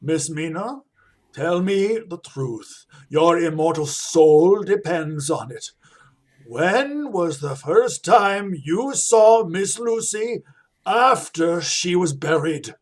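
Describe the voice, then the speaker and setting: An actor playing a solemn, commanding professor who hunts vampires, speaking formally and insistently in a radio play. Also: male voice, fairly high pitch, expressive, takes it slowly. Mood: grave, urgent. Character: grave, commanding male vampire-hunting professor.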